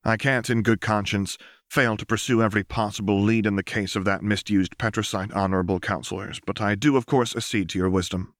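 A man's voice: lowish voice, fairly even intonation, a bit bright, no room sound, clean studio sound, natural voice. A man voicing a courteous, determined female secret operative with formal diction in a story reading. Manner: polite, formal, measured diction.

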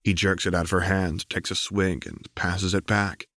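Low-voiced man narrating fiction. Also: fairly even intonation, moderate pace, sharp tone, dry recording, studio quality. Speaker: audiobook reader.

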